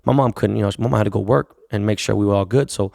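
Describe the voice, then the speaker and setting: Podcast host, man, Korean first language, rapid delivery, excitable and full of slang, dry close mic. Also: fairly low pitch, creaky, dry recording. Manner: excitable, slangy and quick to react. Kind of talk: casual podcast conversation.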